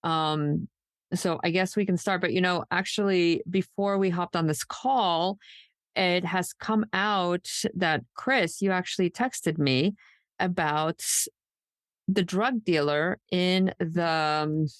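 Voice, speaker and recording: A woman's voice: on the low side, takes it slowly, no echo, clean studio sound. A woman hosting a true crime podcast in a casual, loosely unscripted, conversational way. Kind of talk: casual co-hosted podcast chat.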